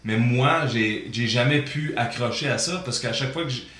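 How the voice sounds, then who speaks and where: A man's voice: mid-range voice, moderate pace, fairly bright tone. A man from Ontario co-hosting a podcast, chatting casually and hesitantly, often repeating words as he thinks. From Ontario, Canada. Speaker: podcast co-host. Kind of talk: casual chat. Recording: echoey room, faint background noise.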